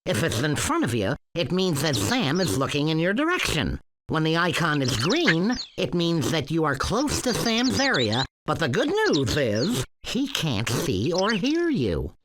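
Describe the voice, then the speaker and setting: A man voicing an excitable, sarcastic cartoon duck host giving brash, showy tutorials in a video game. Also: a little high, measured pace. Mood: brash, instructive. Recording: clearly noisy game audio capture.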